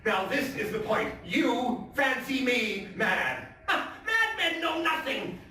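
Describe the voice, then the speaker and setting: A deranged gothic killer, agitated and insistent on his own sanity. The delivery is slow and emphatic, with huge swings of pitch. It is heard from far off in a very echoey theatre. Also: big pitch swings, full character voice. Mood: boastful, manic. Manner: halting, emphatic repetitions, swinging from hushed to shouting. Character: mad, agitated murderer narrator insisting he is sane. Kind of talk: theatrical solo stage reading of gothic horror. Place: indoor theatre stage. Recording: echoey theatre, distant mic, faint noise.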